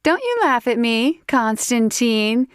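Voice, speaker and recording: A woman's voice: a little high, very animated, takes it slowly, smooth, no echo, studio quality, pitched above the performer's own voice. A woman voicing a tipsy, cheeky young woman with a Southern accent, sassy and giggly in an audiobook. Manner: giggly, sassy, folksy exclamations.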